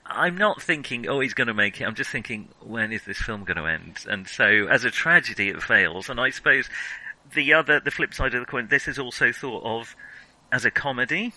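A man's voice: mid-range voice, expressive, brisk, sharp tone, poor audio. A man co-hosting a film podcast, giving opinionated, analytical and wry views in casual discussion.

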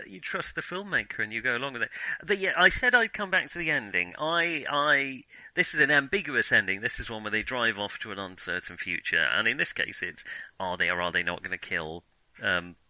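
Guy chatting about films, really bright sharp voice, close dry sound, rambles and backtracks a bit. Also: mid-range voice, animated, moderate pace, dry recording, poor audio. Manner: hesitant, self-correcting, rambling. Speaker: podcast co-host discussing films. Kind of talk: casual film discussion.

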